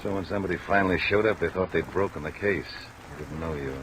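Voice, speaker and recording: An actor playing a middle-aged police lieutenant, blunt, businesslike and weary, in 1950s radio detective drama style. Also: male voice, sits low, quick pace, close to an everyday voice. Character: brisk, weary, hard-pressed male police lieutenant. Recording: old radio recording under heavy rain noise.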